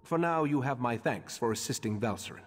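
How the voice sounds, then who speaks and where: A man playing a grave, scholarly leader of a mage order in a video game, speaking in a measured, formal way. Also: mid-range voice, animated, lightly acted. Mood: grave, pondering. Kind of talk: scripted video game character dialogue. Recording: long echoing hall reverb.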